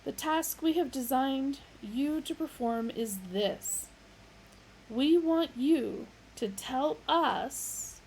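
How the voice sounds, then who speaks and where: A woman's voice: sits high, slow, slight background noise, pitched above the performer's own voice, low-quality recording. A woman doing an eager male programmer. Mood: earnest. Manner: earnest and excitable.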